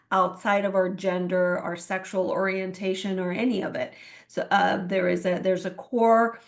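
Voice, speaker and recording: A woman from Iran talks earnestly about her coaching work, with a gravelly, creaky edge to her voice. She hesitates often and restarts her sentences. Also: medium pitch. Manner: earnest, many fillers and restarts. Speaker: guest, transformational coach. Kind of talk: interview answers.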